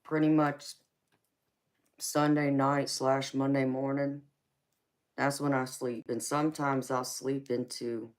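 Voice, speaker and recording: Even-toned woman in her forties chatting. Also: extremely low pitch. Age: 40s. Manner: affectionate, calls viewers baby, honey and sweetheart. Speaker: tarot reader and livestream host. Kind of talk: casual live-stream chat with viewers.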